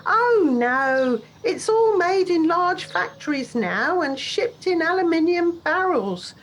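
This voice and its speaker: A woman's voice: very high-pitched, very animated, slow, slight background noise, full character voice. A woman playing an obliging, literal-minded village publican in a comic radio play, with a matter-of-fact manner. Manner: matter-of-fact, innocently unaware. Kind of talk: audio drama acting.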